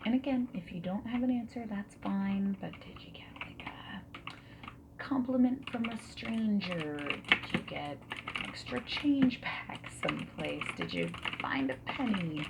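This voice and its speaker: A woman speaking softly and breathily in an ASMR roleplay, often slipping into whispers as she asks gentle, reassuring questions like a friendly interviewer. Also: medium pitch, measured pace. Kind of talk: soft-spoken ASMR roleplay. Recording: steady noise, keyboard typing and clicks. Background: mechanical keyboard typing and clicks.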